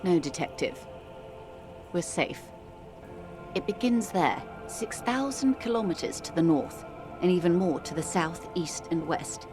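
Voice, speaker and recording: A worldly, composed woman of mature years , voiced by an actress, speaks in a lowish register. She is eloquent and measured, with gentle condescension fit for narrative game dialogue. Music plays clearly beneath her. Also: natural voice. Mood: grave, measured. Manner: measured, eloquent, calls the listener dear and darling. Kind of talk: dramatic video game dialogue. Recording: music playing under the voice.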